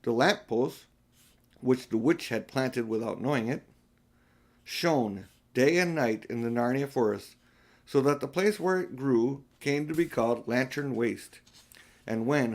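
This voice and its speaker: Older male reader for a children's audiobook, medium pitch, read-aloud storytelling with the odd restart.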